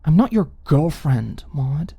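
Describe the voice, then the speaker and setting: A woman's voice: deep voice, sing-song, slow pace, gravelly, dark tone, slightly rough, no echo, exaggerated voice. A woman playing a cool, nonchalant young woman roommate with a mean streak, breezy and sardonic, in an audiobook drama. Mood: dismissive. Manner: breezy, sardonic, feigned indifference.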